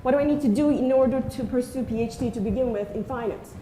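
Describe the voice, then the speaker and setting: A woman speaks with a high, mellow voice. She talks in an earnest, friendly way and pauses often with "uh". The sound has a roomy echo and faint background noise. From Turkey. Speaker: invited speaker, assistant professor. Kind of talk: conference talk. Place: lecture room. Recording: echoey room, faint noise.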